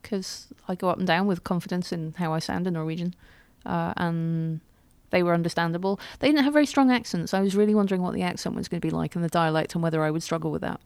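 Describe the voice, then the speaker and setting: A woman's voice: on the low side, fast talker, few pauses, darkish tone, clean tone, no room sound. A woman co-hosting a podcast, chatting casually in a wry, self-deprecating way. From United Kingdom. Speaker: podcast co-host. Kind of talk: casual chat.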